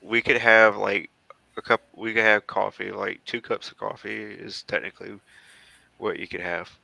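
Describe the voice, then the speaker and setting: American man, casual rambling talk, gravelly fry, bright forceful tone, unhurried and slow, halting delivery. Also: fairly low pitch, little pitch movement, no room sound, rough recording. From southeastern United States. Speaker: guest, former Marine air traffic controller. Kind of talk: casual chat, talking through old photos.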